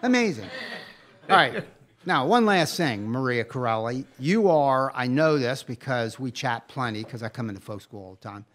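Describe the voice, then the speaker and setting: A man's voice: mid-range voice, lively intonation, moderate pace, fairly bright tone. Enthusiastic man co-hosting a podcast. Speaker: podcast co-host. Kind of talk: casual podcast chat.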